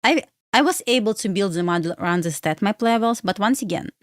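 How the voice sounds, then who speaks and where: A woman's voice: medium pitch, expressive, brisk, no echo, studio quality. A young woman from Belarus, a livestream host and day trader, chatting casually with viewers in full of fillers. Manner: chatty, addresses viewers as guys, frequent uh fillers, non-native phrasing.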